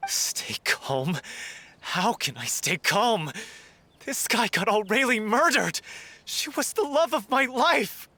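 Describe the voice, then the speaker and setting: Bitter grieving man, confrontational, sharp raspy edge, game drama. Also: sits high, lively intonation, takes it slowly, no room sound, heavily acted. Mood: bitter grief, rage. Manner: confrontational, accusing. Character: hot-tempered grieving male troupe actor, bitter and accusing. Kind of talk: video game voice acting.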